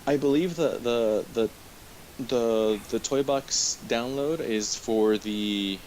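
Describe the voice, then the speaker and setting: A man hosting a webcast, running through news from his notes in a casual, halting way. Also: mid-range voice, darkish tone. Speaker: webcast host. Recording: hissy, noisy online webcast audio.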